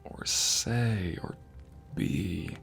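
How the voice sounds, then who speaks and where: Casting: male actor as devoted, flirty husband for ASMR romance audio; deep, crackly, whispery voice, tender and lingering delivery. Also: a bit bright, faint music behind, heavily acted. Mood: tender, sincere. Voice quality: low, breathy, gravelly murmur, often dropping to a whisper. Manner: tender, slow, sighing delivery. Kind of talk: ASMR romantic roleplay, intimate monologue.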